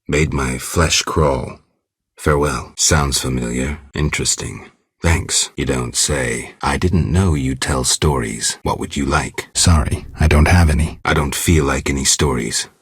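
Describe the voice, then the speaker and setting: An extremely deep, very raspy voice for a gruff monster slayer in a video game. He speaks in clipped, deadpan phrases with dry sarcasm. The sound is close and dry, with no room to it. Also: measured pace, dry recording, heavily acted. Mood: laconic, deadpan. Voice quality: very husky, gravelly rasp. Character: gruff, laconic male monster hunter for hire, dryly sardonic. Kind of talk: video game dialogue acting.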